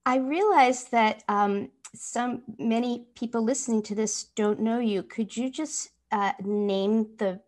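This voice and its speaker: A woman's voice: on the high side, a little slow, few pauses. A woman hosting an interview who asks questions and often gives encouraging murmurs. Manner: encouraging, frequent affirming murmurs. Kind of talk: casual conversational interview.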